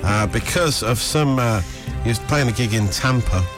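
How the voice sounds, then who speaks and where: A man's voice: medium pitch, moderate pace, music in the background. A man reading showbiz news on a radio breakfast show in casual banter, laughing through his lines and getting flustered. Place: radio studio.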